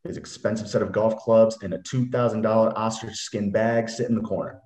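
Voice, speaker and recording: A man's voice: on the low side, fairly even intonation, fairly quick, darkish tone. A man reading screenplay stage directions as narrator in a scripted reading. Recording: roomy echoing computer mic.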